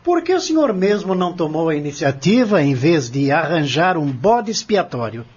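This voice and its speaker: An actor playing a stern lawyer in an audio drama, questioning a defendant in a formal, pointed, accusatory way. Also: male voice, fairly high pitch, very animated, normal speed, a little mellow, slightly rough. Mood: accusing. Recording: dull lo-fi audio.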